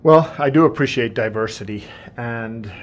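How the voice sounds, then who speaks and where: A man interviewed as an incoming high school principal, earnest, often repeating words as he starts a sentence. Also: normal speed. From Tanzania and Mexico. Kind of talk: interview answers. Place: school office.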